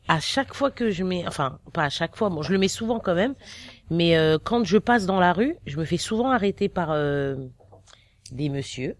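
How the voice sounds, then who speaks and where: A woman from Cameroon giving a casual personal testimony, halting, with many fillers and restarts. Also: lowish voice. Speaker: interviewee.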